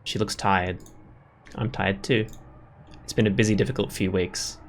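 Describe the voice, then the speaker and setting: A man's voice: fairly low pitch, steady tone, normal speed, a little hiss or hum. A man hosting a gaming video, giving casual commentary and reading game text aloud in a reflective way.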